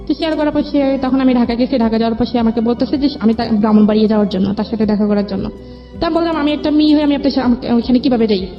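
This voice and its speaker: A woman with a Bangladeshi accent telling her own life story as an interview guest. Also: on the high side. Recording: dull lo-fi audio, music underneath. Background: background music.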